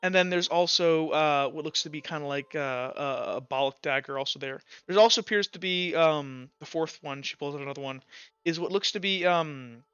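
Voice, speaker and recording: A man narrates a tabletop game as its game master, with a bright, clear voice that sits a bit high. His phrasing is casual and halting, often circling back, on a dry recording with slightly dulled sound. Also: no echo, dull audio.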